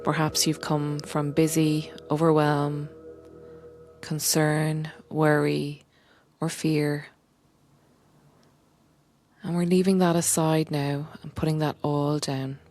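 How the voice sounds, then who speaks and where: Female meditation guide: low-key, even delivery, very slow and gentle, breathy soft moments, music underneath. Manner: gentle, unhurried, often dropping to breathy whisper. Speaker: meditation guide and coach, podcast host.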